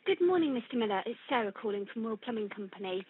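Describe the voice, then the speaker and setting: A woman's voice: fairly high pitch, lively intonation, fast, lightly acted. A woman speaking as a company caller in a customer service phone call, on a dull, noisy recording.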